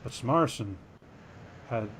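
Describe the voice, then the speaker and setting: A man's voice: medium pitch, lively intonation, unhurried, a bit bright, a little hiss or hum. A man co-hosting a podcast, reading a news story aloud and breaking off into jokey, self-deprecating tangents. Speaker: podcast co-host.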